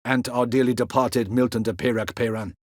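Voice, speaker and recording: Man acting a stern, husky guard captain. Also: mid-range voice, steady tone, a little fast, darkish tone, dry recording, clean studio sound, natural voice. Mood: formal, stern. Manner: formal, clipped, commanding, archaic courtly phrasing. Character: proud, stern male captain of a fantasy ducal guard. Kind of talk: dramatic video game voice acting.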